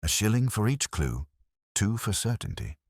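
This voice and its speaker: A man voicing a calm, incisive consulting detective in a mystery audiobook, with a crisp, deliberate manner. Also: lowish voice, no echo, clean studio sound, pitched above the performer's own voice, full character voice.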